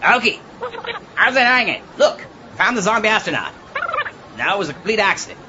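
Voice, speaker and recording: Casting: frantic, scheming mad scientist, high and animated, cackling, theatrical radio-comedy style. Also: male voice, fairly quick, muffled recording, noisy background. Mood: frantic, excited. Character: excitable, scheming mad scientist professor on the telephone. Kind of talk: comic audio drama acting.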